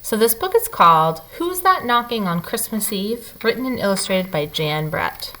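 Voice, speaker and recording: Animated, bright-voiced woman reading a storybook. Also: medium pitch, moderate pace, slight background noise, poor audio. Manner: animated, dramatic emphasis, addressing young listeners. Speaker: read-aloud storyteller. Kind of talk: expressive children's picture-book read-aloud.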